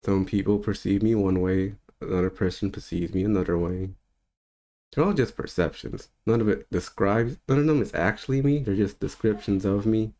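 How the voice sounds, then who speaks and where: Low-voiced man in his thirties, rambling. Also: moderate pace, warm tone. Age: 30s. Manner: loose and rambling, with easy laughs. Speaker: spiritual teacher. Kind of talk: casual unscripted monologue.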